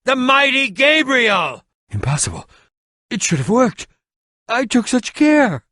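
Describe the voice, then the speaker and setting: A man's voice: extremely high pitch, sing-song, measured pace, bright, forceful tone, no echo, clean studio sound, full character voice. A male actor voicing a haughty, scheming, villainous potion-maker in a video game, pompous, sneering and melodramatic, with over-enunciated delivery. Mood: frantic, outraged. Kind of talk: video game character acting.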